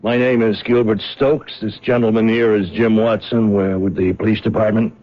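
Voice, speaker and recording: A man's voice: lowish voice, little pitch movement, normal speed, close to an everyday voice. An actor playing a blunt male police detective in a 1970s radio drama, with a curt, businesslike manner. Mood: stern. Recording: dull old radio broadcast.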